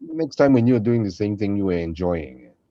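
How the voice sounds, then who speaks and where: A man's voice: lowish voice, expressive, brisk, highs cut off, a crowd in the background. A man who is a non-native English speaker, chatting casually as a co-host in a jovial, encouraging way. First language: not English. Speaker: podcast co-host and voice performer. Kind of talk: casual chat.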